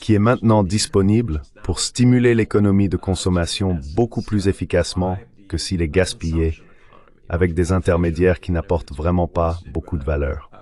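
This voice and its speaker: A man's voice: sits low, steady tone, moderate pace, a little mellow, close to an everyday voice. A man delivering an explanatory voice-over translation for an interview guest. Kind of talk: dubbed interview commentary, explanatory.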